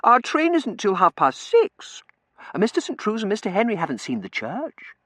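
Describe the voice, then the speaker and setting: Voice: medium pitch, huge pitch swings, normal speed, a bit bright, no echo, voice pushed up. A narrator voicing a spoiled, affected young lady who coos in fluttery, prissy baby talk in a storybook reading. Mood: gushing, eager.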